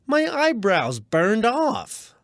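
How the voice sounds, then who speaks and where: A male co-host in a scripted radio comedy bit, picking at details with dry quips. The voice is fairly high and very animated, in a dry studio. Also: drawn-out words, no echo.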